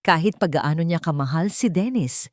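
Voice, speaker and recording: A woman's voice: low voice, lively intonation, moderate pace, dry recording, clean studio sound. A woman with a Filipino accent reading a scripted dramatic story as an audiobook narrator.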